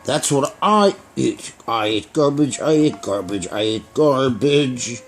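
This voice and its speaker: A man voicing a greedy, gleeful garbage can in a children's puppet show, speaking slowly and repetitively. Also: mid-range voice, expressive, slight background noise. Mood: greedy, savoring. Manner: slow, repetitive, savoring delivery.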